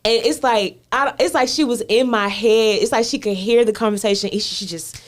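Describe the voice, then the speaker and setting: A woman's voice: fairly high pitch, moderate pace. A woman, a stage actor, chatting casually and conversationally on a panel.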